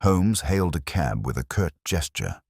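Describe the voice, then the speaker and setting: A man's voice: sits low, very animated, moderate pace, darkish tone, breathy edge, no echo, studio quality. A man reading scripted first-person mystery fiction as a narrator, in a solemn, atmospheric storytelling manner. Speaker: audiobook narrator.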